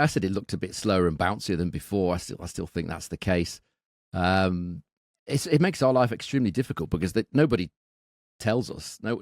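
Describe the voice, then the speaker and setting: Co-host with a very low voice, halting and repetitive, dry recording. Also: male voice, a little mellow, dry recording. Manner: hesitant, repeats words, thinks aloud. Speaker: podcast co-host. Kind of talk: casual betting analysis.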